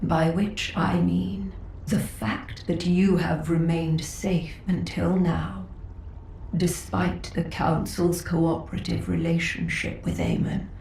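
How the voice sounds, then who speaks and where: An actress voicing an ailing, dignified grand master of a sci-fi council in a video game, frail and breathy, with formal, halting speech. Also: female voice, lowish voice, flat delivery, a little mellow, reverberant, a little hiss or hum, poor audio. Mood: grave, weary. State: unwell, coughing. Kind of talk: video game story dialogue.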